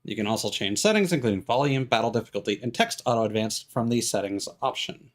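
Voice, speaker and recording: A man's voice: medium pitch, expressive, fast. A man doing casual, rambling livestream commentary as he plays a game, with wry asides. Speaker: game streamer. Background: game music.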